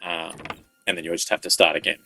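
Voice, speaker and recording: A man giving casual, unscripted video game walkthrough commentary in a halting, pause-filled way. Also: deep voice, little pitch movement, creaky, bright, forceful tone. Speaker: gaming video creator giving walkthrough tips. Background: faint game music.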